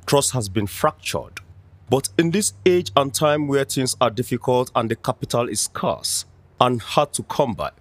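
An actor playing a stern official giving a formal, admonishing scripted speech. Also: male voice, mid-range voice, lively intonation, moderate pace.

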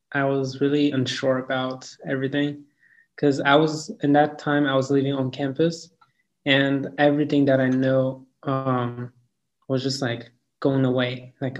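A young man of about twenty, answering interview questions in a halting way, with lots of "like" and "um". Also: mid-range voice, darkish tone. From Cameroon. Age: around 20. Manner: halting, hedging with "like" and "um". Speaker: student interviewee. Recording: dull lo-fi recording.

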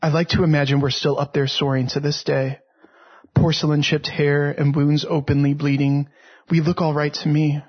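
A man's voice: a little high, level tone, normal speed. A man reading his own poem aloud as a literary recitation. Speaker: poet reading own work. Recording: dull, slightly lo-fi recording.

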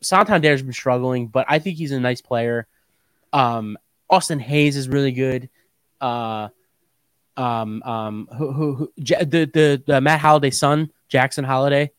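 A man's voice: medium pitch, measured pace, clear, resonant tone, no room sound. A young American man co-hosting a sports podcast in casual chat, often repeating himself for emphasis.

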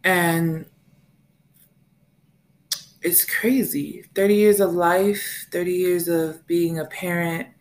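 An American woman in her late twenties, a mother and podcast host, talking through her week in a rambling, animated solo chat and laughing often. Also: lowish voice, takes it slowly, long pauses. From United States. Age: late 20s. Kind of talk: casual solo monologue.

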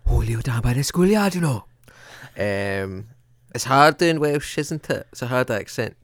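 A man's voice: medium pitch, lively intonation, unhurried, dry recording, clean studio sound. A man co-hosting a podcast, chatting casually in a jokey, rambling, teasing way. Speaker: podcast co-host. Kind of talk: casual chat.

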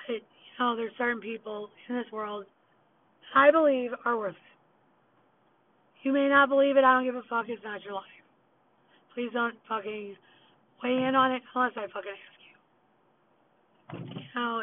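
A slightly high-voiced woman rants on her own, blunt and full of swearing. Her voice is hoarse and wearing out. The sound is thin and tinny, like a phone recording. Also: slight background noise. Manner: blunt, profane, venting. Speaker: podcast host. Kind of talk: unscripted solo rant.

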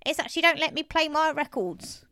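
A woman narrator puts on a very old, hard-of-hearing man from a storybook. He is blunt, stubborn and cheeky, speaking slowly and loudly in short bursts, recorded very dry. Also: high-pitched, expressive, no room sound, voice pushed up. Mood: stubborn, complaining. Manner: slow, loud, blunt short utterances. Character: deaf, wickedly mischievous 102-year-old man, blunt and stubborn.

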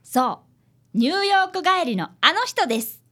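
Woman as schoolboy detective's apprentice: high, animated, polite, eager, radio comedy. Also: moderate pace, heavily acted. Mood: earnest narration. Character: earnest, polite fifth-grade boy, apprentice detective. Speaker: actor and narrator in a community radio drama. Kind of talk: Japanese comic radio drama acting.

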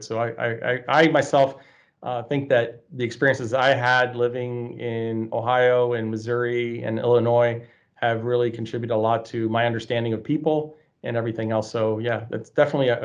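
A man hosting an interview show, a campus president, friendly and loose with fillers and repeated words. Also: medium pitch. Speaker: host, college campus president. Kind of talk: hosted interview. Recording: slightly dull lo-fi sound.

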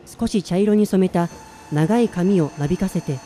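A man's voice: sits high, normal speed, mellow, a little hiss or hum, natural voice. An actor playing a listless, self-doubting man in his twenties in a radio drama, plain-spoken in narration and dialogue. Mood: reflective, subdued. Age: 20s.

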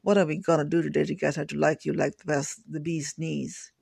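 A blunt, grumbling lab worker from Queens speaks flat and deadpan, as a man reads him aloud. The voice sits a little high and very gravelly, with a dry, close sound and no room around it. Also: a little fast, no room sound, exaggerated voice. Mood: griping. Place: home kitchen table. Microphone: laptop setup.

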